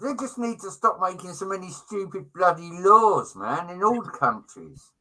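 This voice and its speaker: British man, middle-aged, slightly high voice, very bright and punchy, chummy, opinionated, slangy livestream chat. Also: expressive, normal speed, low-quality recording. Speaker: livestream co-host.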